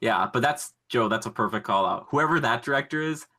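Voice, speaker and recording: A man hosting a casual podcast, talking in an excitable, gushing, rambling way. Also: medium pitch, a little fast. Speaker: podcast host. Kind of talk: casual enthusiastic pop culture podcast chat.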